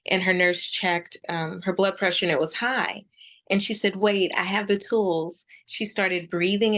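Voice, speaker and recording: A woman in her forties speaking as a meditation teacher and interview guest, telling her story conversationally with reflective, halting pauses. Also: on the low side, a bit bright. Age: 40s.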